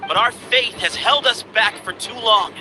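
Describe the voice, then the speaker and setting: A young man voicing an earnest desert temple heir and duelist in a video game, speaking solemnly and deliberately. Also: high-pitched, music in the background, lightly acted. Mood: reflective, sincere. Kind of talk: dramatic English game voice acting.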